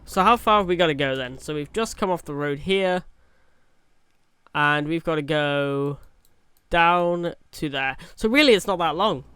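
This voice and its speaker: A man's voice: a little high, animated, a little slow, pushed and bright, clear, resonant tone, no echo. A young man with a cold, chatting casually over a truck driving game, rambling with fillers and restarts. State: has a cold. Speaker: gaming YouTuber. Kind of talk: casual gameplay commentary.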